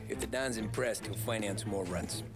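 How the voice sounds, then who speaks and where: An actor playing an earnest, level-headed young gangster in a game cutscene, matter-of-fact and understated. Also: male voice, on the low side, normal speed, lightly acted. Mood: businesslike. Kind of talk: video game cutscene acting. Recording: music behind the voice.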